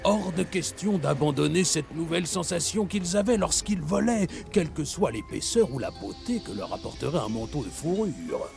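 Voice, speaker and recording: A man playing a wise, patient old animal teacher who tells stories in a measured, solemn way in a children's cartoon. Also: fairly high pitch, expressive, vocal fry. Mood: solemn storytelling. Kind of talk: dubbed children's cartoon, storytelling. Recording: light music behind.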